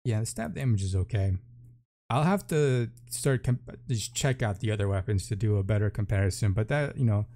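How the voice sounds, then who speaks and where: Low-voiced man, casual gaming commentary. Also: lively intonation, fairly quick, warm tone. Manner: off-the-cuff, thinking aloud in short remarks. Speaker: gaming video host. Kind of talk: casual unscripted game patch commentary.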